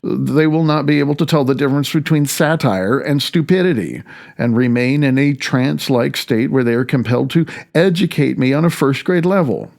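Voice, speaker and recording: A man reading his own first-person audiobook as its author, in a halting, wry and self-deprecating way, stumbling over words now and then. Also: medium pitch, vocal fry, a little mellow.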